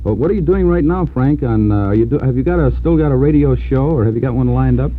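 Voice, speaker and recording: A man working as a radio disc jockey, interviewing in an informal, halting, admiring way in 1950s radio style. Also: mid-range voice, phone-quality sound. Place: radio studio. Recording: band-limited 1950s radio recording, music.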